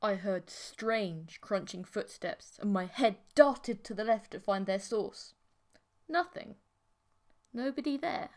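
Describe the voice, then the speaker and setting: A woman's voice: medium pitch, slow. A woman narrating a scripted first-person audiobook story.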